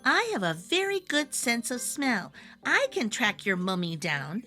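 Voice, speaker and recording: Little boy bear cub, acted by a woman, high lively voice, cheerful, can-do, reassuring, children's storybook. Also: measured pace, faint music behind, exaggerated voice. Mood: reassuring, confident.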